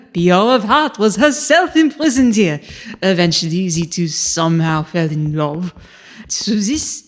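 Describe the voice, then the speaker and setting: A woman's voice: on the low side, darkish tone. An actress playing a mysterious, formal female castle guide, telling legends theatrically in an audio drama. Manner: theatrical, ornate storytelling.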